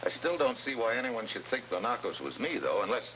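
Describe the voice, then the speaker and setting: An actor playing a tough, wry American special agent with clipped, wisecracking delivery in a 1950s-style television crime drama. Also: male voice, medium pitch, very animated, fast, lightly acted. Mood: brisk, probing. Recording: dull, noisy old TV soundtrack.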